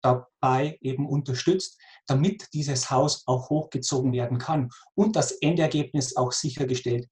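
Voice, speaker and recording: A man in his forties with a Lower Bavarian accent, explaining his work conversationally and earnestly as an interview guest, repeating phrases for emphasis. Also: steady tone, moderate pace, few pauses, darkish tone. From Lower Bavaria, Germany. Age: 40s.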